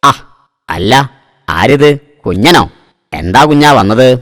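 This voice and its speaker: Male actor as cartoon store owner: low, grumbling, evasive schemer, reverberant sound. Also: animated, slow, fairly bright tone, exaggerated voice. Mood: evasive, sly. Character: grumbling, sly adult male shop owner, evasive boss. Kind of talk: cartoon voice acting. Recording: long reverberant echo.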